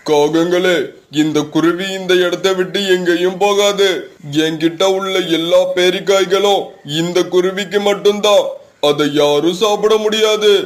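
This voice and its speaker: A man's voice: sits high, fairly even intonation, a little slow. A man voicing a stern, protective talking tree in a children's cartoon, with a firm, admonishing manner. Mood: defiant.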